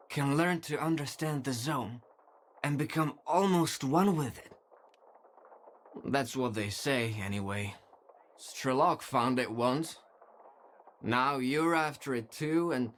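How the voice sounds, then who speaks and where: A man's voice: fairly high pitch, fairly bright tone. An actor playing a weary, remorseful man, speaking earnestly and wistfully in dramatic video game dialogue. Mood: guarded, wistful. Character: weary, remorseful man devoted to a mysterious zone.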